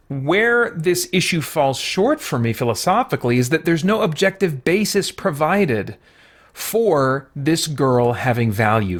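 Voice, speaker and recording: A man hosting a review show, giving reflective, earnest, explanatory commentary straight to his viewers. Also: mid-range voice.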